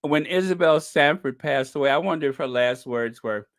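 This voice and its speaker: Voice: medium pitch, normal speed. A live-stream host signing off in casual, rambling chat, joking and chuckling and calling chat viewers by name.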